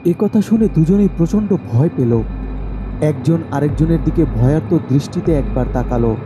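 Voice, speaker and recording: A man's voice: on the high side, expressive, normal speed, over music. A man narrating a scripted horror story as its storyteller.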